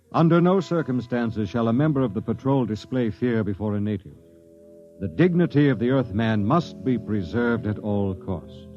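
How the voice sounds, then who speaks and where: Stern patrol captain, low and measured, authoritative, vintage sci-fi radio style, dry narrow-band sound. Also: male voice, dark tone. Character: stern, dignity-obsessed male captain of a space patrol. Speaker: captain and narrator. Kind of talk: 1950s radio drama acting. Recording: dry, band-limited 1950s radio recording.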